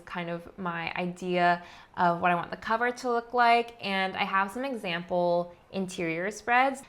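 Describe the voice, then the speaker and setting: A woman artist talking through her book planning in a casual, explanatory vlog voice, often filling gaps with "like". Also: fairly low pitch. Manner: relaxed, chatty, frequent "like" fillers. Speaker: artist and vlogger.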